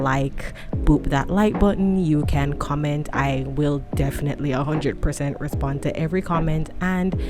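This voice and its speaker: A woman in her late twenties chatting casually to camera at home, rambling and self-deprecating, a fan running nearby. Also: low-pitched. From tropical country. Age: 20s. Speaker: vlogger.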